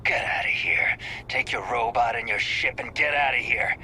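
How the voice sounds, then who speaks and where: A man's voice: medium pitch, lively intonation, moderate pace, sharp tone, a little hiss or hum. A male actor playing a cocky, menacing space pirate in a video game, with informal, clipped tough talk. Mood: disgusted. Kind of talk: scripted video game dialogue.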